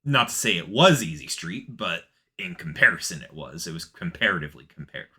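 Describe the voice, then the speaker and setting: A man co-hosting a casual anime discussion, agreeable and quick to chime in with short affirmations. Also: low-pitched, big pitch swings, bright, forceful tone. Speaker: podcast co-host.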